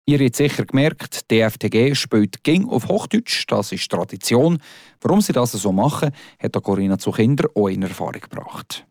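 A man presenting a radio magazine with a Swiss German accent, relaxed and casual. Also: medium pitch, moderate pace, gravelly, dry recording, clean studio sound.

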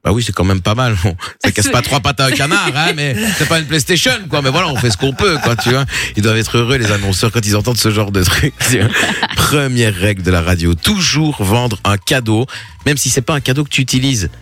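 A man's voice: medium pitch, expressive, brisk, a bit bright. A man hosting an evening radio show, recapping clips with sarcastic jokes and teasing asides. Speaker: radio host. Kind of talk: mocking radio recap banter. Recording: radio broadcast with faint music bed.

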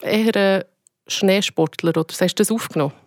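A woman with a Swiss German accent, hosting a casual interview. She is chatty and keeps up quick follow-up questions. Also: mid-range voice, a little mellow, no room sound, studio quality. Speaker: radio show host.

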